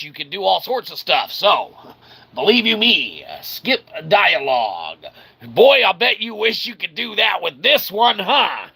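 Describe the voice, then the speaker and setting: A man's voice: high voice, gravelly, bright, forceful tone, breathy edge, poor audio. A man hosting a casual, rambling game livestream in a jokey, mock-earnest showman manner. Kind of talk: casual rambling let's-play commentary.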